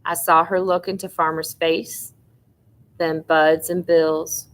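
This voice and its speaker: A woman's voice: low-pitched, flat delivery, slow pace. A woman teacher reading a novel aloud expressively, with informal asides to her students.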